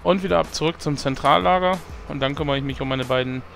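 Hoarse male let's-play host, gravelly and slightly high, casual self-mocking chatter. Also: fast, a bit bright, soft background music. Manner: chatty, self-mocking, thinks aloud with fillers. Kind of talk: casual gameplay commentary.

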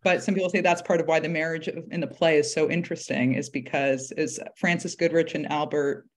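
A woman's voice: sits low, steady tone, a little fast. A woman talking casually as a panel guest on a video call, thoughtfully rephrasing as she goes.

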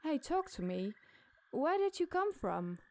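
A woman plays a troubled, curious man on a night drive in a campfire horror story. The lines are raised in pitch and pressed with insistent questions, over clear night ambience. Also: very animated, measured pace, slight background noise, exaggerated voice. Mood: concerned, urgent. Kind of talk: scary story character dialogue.

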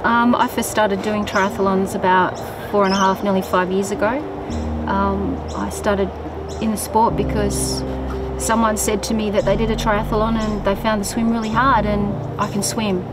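A woman's voice: medium pitch, flat delivery, moderate pace. A woman in her early fifties, a triathlete, speaking in an encouraging, emphatic way in a documentary interview outdoors. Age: 50s. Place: outdoors in a park. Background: music.

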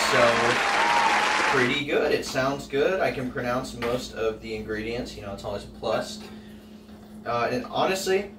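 Young man chatting in a home kitchen. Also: medium pitch. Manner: chatty, offhand, lots of fillers. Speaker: home cooking vlogger. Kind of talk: casual cooking vlog. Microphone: camera on the counter, across the kitchen. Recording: roomy, distant camera audio with noise.

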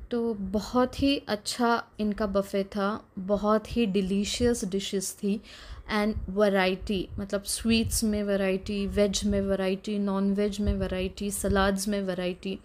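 A woman, a mother narrating her family vlog in a relaxed, chatty voice-over that slips into English phrases. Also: medium pitch. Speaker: vlogger, mother.

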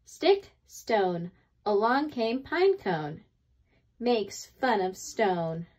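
A woman reading a children's picture book aloud expressively, with deliberate dramatic pauses between phrases. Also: mid-range voice, very animated, darkish tone. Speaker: read-aloud storyteller.

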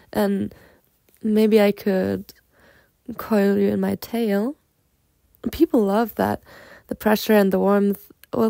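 A woman's voice: medium pitch, creaky, dry recording. A woman playing a flirty, teasing, confident half-snake lamia in an ASMR roleplay, coaxing the listener. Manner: flirtatious, coaxing, breaking into soft giggles and whispered asides.

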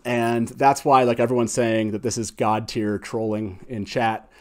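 A man's voice: medium pitch, flat delivery, unhurried, a little mellow. An enthusiastic, effusive man in his forties hosting a casual livestream chat, speaking into a close studio mic. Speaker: show host. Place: small wood-panelled studio indoors. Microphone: close studio mic on boom arm.

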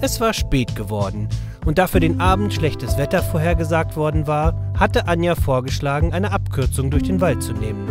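A man's voice: on the high side, expressive, normal speed, over music, natural voice. A man narrating a children's adventure audio drama as a scripted storyteller. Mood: storytelling.

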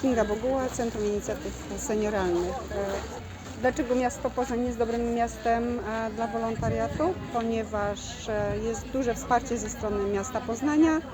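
A woman's voice: a little high, steady tone, a little slow. A woman giving a short, structured interview answer as an organisation representative.

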